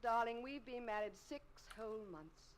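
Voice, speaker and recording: A woman's voice: a little high, normal speed, lightly acted. Woman acting a tender wife, very noisy recording. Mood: loving, brave. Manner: tender, gently teasing. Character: devoted young British wife, knowing, teasing, brave. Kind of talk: old-time radio drama acting. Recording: very noisy vintage radio recording.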